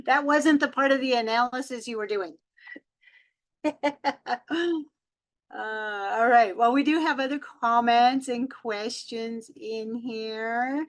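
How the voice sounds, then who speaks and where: A woman's voice: a little high, slow pace, long pauses. A woman hosting an online question and answer session in a casual, effusive and appreciative way. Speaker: webinar host.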